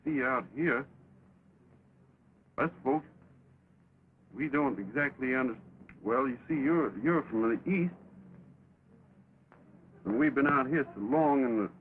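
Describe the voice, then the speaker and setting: An actor playing a gentle, teasing young cowhand with a folksy American drawl in an early talkie film. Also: male voice, mid-range voice, slow, pauses often, lightly acted. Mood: halting, apologetic. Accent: American, cowboy drawl. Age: young adult. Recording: crackly, tinny 1920s film soundtrack.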